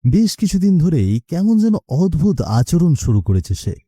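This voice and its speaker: A man's voice: a little high, very animated, normal speed, mellow, dry recording, studio quality. A man narrating a scripted story as its storyteller.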